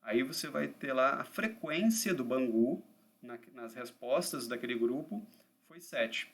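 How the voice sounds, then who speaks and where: A man's voice: mid-range voice, measured pace. A man teaching a basic lesson to students, often repeating himself and checking that they follow. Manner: repetitive, rephrasing and checking in with "tá?" and "né?". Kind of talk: explaining statistics to a class.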